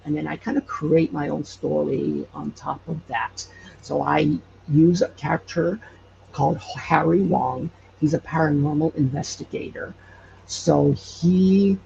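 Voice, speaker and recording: Man, slightly high gravelly voice, casual, hesitant, searching for words, dull noisy internet call. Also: dark tone. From Hawaii. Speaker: podcast creator, interview guest. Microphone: online call.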